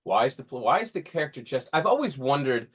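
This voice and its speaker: Man, forties, average pitch, casual podcast co-host, rambling delivery, frequent restarts mid-thought. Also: big pitch swings, fast talker, fairly bright tone, slightly rough. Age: 40s. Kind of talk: casual discussion.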